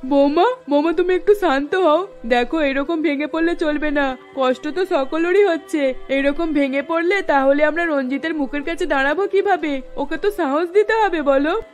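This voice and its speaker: Brief: woman doing an older cartoon mother-in-law, high voice, steady and consoling. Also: moderate pace, few pauses, soft background music, close to an everyday voice.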